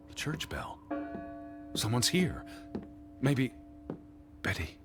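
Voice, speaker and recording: An actor playing an anxious, stuffy, learned 1920s gentleman in a video game, speaking formally and dismissively. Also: medium pitch, very animated, takes it slowly, music in the background. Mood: urgent. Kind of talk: dramatic video game dialogue.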